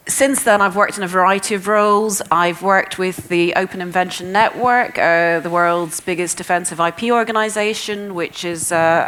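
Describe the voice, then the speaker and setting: A woman's voice: fairly low pitch, a bit bright, clean tone. Chatty woman moderating a panel. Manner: chatty, self-deprecating, with fillers. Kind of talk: conference panel introduction. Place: conference breakout room.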